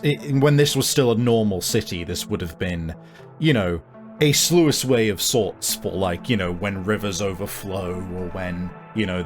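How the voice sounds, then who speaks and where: A man narrates a tabletop roleplaying scene in a low voice over clearly audible music. He takes his time, feeling his way through each description with frequent pauses. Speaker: game master. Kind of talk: improvised tabletop game narration.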